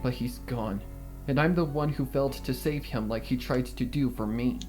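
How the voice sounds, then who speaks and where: A man narrating a dark first-person fan fiction story in a brooding, deliberate, dramatic way. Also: medium pitch. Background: guitar music.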